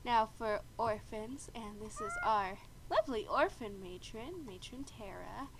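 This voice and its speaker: A woman's voice: on the high side, unhurried. A woman with a congested, stuffy voice from a cold, casually narrating gameplay and explaining rules as the host. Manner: frequent throat clearing, chatty and explanatory. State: congested after a bad cold. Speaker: video game host. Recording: steady background noise.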